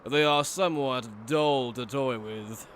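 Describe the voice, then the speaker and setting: A man's voice: mid-range voice, slow, fairly bright tone, slight background noise. A man playing a cruel, mocking aristocratic villain in an anime fan dub, with pompous, condescending, theatrical delivery. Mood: coldly disdainful. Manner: pompous, theatrical, sneering formal speech.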